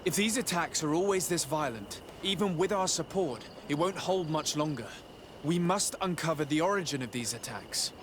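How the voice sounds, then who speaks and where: A man voicing a polite, resolute noble envoy in a video game, speaking in a formal, measured way. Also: on the high side, animated, a little hiss or hum, natural voice. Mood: grave, resolute. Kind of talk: video game cutscene acting.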